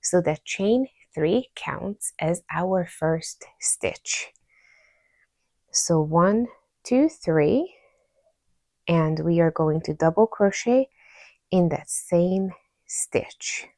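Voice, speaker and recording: A woman's voice: fairly low pitch, lively intonation, very slow, creaky, long pauses, a little mellow, no room sound. A woman with a Hungarian accent hosting a crochet tutorial, explaining each step patiently and counting stitches aloud.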